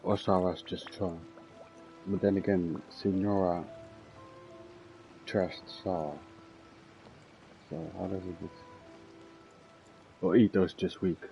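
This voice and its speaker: A man streaming a game with a deep, soft-edged mellow voice. He muses aloud casually, repeating questions to himself over faint music. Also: steady tone, slow, creaky, long pauses. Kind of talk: casual live gameplay commentary.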